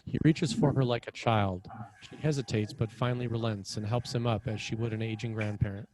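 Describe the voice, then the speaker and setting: A man's voice: on the low side, fairly even intonation, a little fast, a little mellow, poor audio. A man reading screenplay action lines as narrator at a table read. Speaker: screenwriter narrating stage directions.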